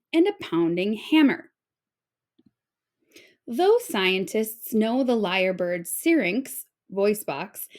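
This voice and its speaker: A woman reading an informational children's book aloud like a teacher, with expressive, clearly phrased delivery. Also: a little high.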